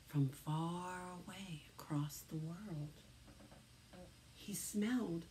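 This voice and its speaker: A woman reading a children's storybook aloud as a teacher, dramatically, with hushed phrases and drawn-out words. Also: fairly low pitch, poor audio. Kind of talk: expressive children's storybook narration.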